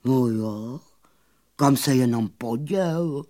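A woman's voice: extremely low pitch, mellow. A woman voicing a grumpy male bumblebee in a children's story, with a grumbling, mumbled, plain-spoken delivery. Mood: surprised.

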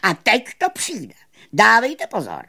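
A woman voicing a boastful, excitable little male magician with theatrical, exclamatory speech in a children's story. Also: medium pitch, creaky, breathy edge, pitched above the performer's own voice, exaggerated voice. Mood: proud announcing. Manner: theatrical, repetitive, exclamatory, grandiose.

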